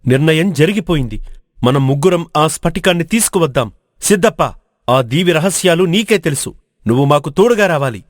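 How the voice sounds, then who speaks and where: High-voiced, earnest man acting a brave village hero. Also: expressive, fairly quick, no echo, clean studio sound, close to an everyday voice. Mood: determined. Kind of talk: animated moral story dubbing.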